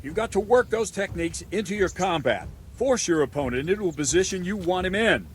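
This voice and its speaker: A man's voice: high voice, lively intonation, brisk, a little hiss or hum. A man playing a stern, demanding medieval swordmaster in a video game, giving blunt, commanding, instructive lines to a pupil. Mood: instructive, measured. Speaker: combat trainer.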